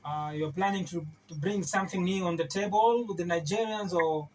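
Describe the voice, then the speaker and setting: A male interviewer asking questions haltingly, with many fillers and restarts. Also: high voice, a little mellow, poor audio. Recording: crowd noise behind the voice. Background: crowd noise.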